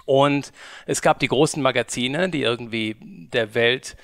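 A man in his forties from Germany, a company co-founder giving an interview, who talks haltingly with many fillers and restarts. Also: medium pitch, a bit bright, no room sound, studio quality. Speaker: guest, media company co-founder. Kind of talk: conversational interview.

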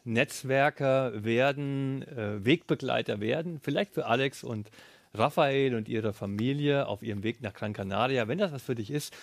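A man's voice: medium pitch, no room sound. A man hosting a live studio interview, asking questions and murmuring agreement while guests speak. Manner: frequent murmured backchannel. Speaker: livestream host.

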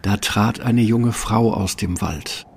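A man's voice: lowish voice, takes it slowly, vocal fry, slight background noise. A man narrating a fairy-tale radio play in a scripted storytelling style.

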